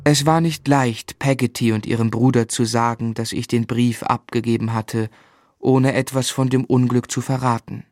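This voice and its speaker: An actor playing a grave, shaken narrator recalling a tragedy, with measured, dramatic literary delivery in a radio play. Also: male voice, mid-range voice, fairly even intonation, no echo, natural voice. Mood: grief-stricken. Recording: studio radio drama with musical underscore.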